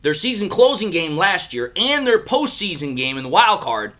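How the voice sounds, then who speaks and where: A man's voice: high-pitched, big pitch swings, normal speed, fairly bright tone. A man hosting a sports fan show, speaking in a casual, chatty way straight to his viewers. He is a little under the weather, so his voice sounds slightly crackly. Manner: chatty, repeats himself, addresses viewers directly. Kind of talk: casual sports analysis talk.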